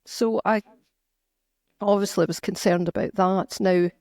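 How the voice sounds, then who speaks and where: Earnest Scottish woman with gravelly fry. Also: mid-range voice, fairly even intonation, a little slow, darkish tone, dry recording, clean studio sound. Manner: earnest, emphatic, with restarts. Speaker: author and guest. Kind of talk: conversational interview.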